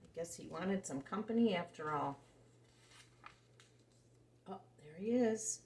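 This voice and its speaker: Slow-paced woman storyteller in an echoey room. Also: on the low side. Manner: simple, expressive narration with questions to the listener. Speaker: storyteller reading picture books to children. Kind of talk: animated read-aloud storytelling for young children. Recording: roomy echo, faint background noise.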